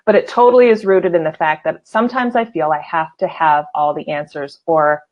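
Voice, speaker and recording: A woman hosting an interview show talks with upbeat, gushing enthusiasm. Her voice sits a little on the low side for a woman, and she often doubles back and restarts her sentences as she goes. She sounds like someone in her forties. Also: steady tone, brisk, muffled recording. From California. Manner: effusive, enthusiastic, many restarts. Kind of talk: casual interview conversation.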